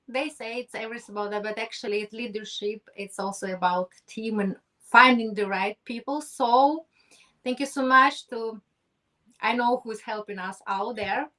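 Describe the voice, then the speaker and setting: A woman's voice: a little high, bright, forceful tone. A woman from Ukraine, speaking as a guest in an effusive, grateful way with phrasing. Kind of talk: conversational interview. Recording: distant from the mic.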